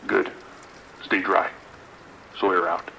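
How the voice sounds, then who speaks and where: A man's voice: sits low, level tone, takes it slowly, creaky, pushed and bright, a little hiss or hum, exaggerated voice, rough recording. A man playing a stern, authoritative sheriff's lieutenant with a clipped delivery in an audio drama. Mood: guarded, commanding.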